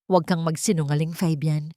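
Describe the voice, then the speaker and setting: A woman storyteller plays a poor young mother with wounded pride. She speaks with emotional, accusing indignation in a radio-drama style, in a fairly low female voice on a dry recording. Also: fairly even intonation, brisk, warm tone, no echo, close to an everyday voice. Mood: hurt, accusing.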